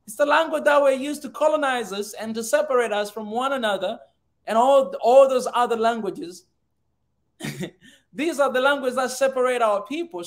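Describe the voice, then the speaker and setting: Tanzanian male host on a video call, Swahili native, high pitch, earnest and thoughtful. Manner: earnest, repeats words while thinking. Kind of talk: casual conversation.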